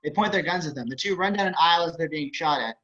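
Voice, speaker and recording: A man's voice: a little high, quick pace, sharp tone. A man narrating stage directions at an online table read, with wry, casual asides. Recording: dull video-call audio.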